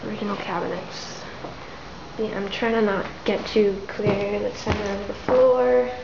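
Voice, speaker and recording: A woman casually narrating a walkthrough of an abandoned house in a soft, half-whispered way, filmed on a handheld camera. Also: mid-range voice, fairly even intonation, moderate pace. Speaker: urban explorer filming a tour. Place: empty abandoned row house, indoors. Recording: roomy, dull lo-fi camera audio with hiss.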